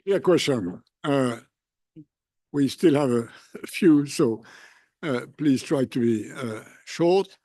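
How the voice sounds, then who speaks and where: Mellow-voiced male moderator, halting. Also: medium pitch, expressive, dry recording. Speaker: panel moderator. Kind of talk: informal handling of audience questions.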